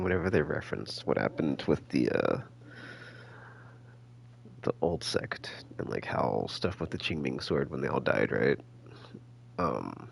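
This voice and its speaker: A man giving casual, rambling game commentary as a streamer, halting and slangy as he thinks out loud. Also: rumbling low, creaky, a little hiss or hum. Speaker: gaming streamer.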